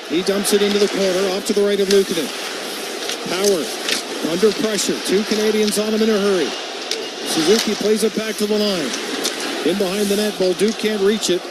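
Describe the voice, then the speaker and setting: A man calling live hockey play-by-play for radio over arena noise. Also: high-pitched. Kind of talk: live radio sports commentary. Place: arena broadcast position. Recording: loud arena noise behind voice. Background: hockey arena crowd.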